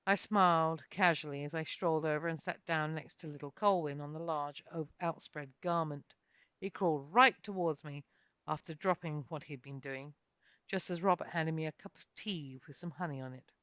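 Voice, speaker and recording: A woman's voice: low-pitched, normal speed, fairly bright tone, no room sound. Woman, author narrating, first-person fantasy storytelling, theatrical emphasis, very dry and muffled recording. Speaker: author reading own novel.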